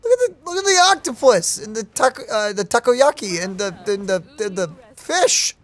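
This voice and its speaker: A woman's voice: a little high, sing-song, moderate pace, dry recording. A woman streaming a game and giving casual, conversational reaction commentary.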